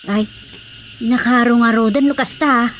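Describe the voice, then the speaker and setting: A fussing, practical village mother in an Ilocano radio drama, played by a woman. Her voice is on the high side, with faint noise behind it. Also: steady tone, a little slow, lightly acted.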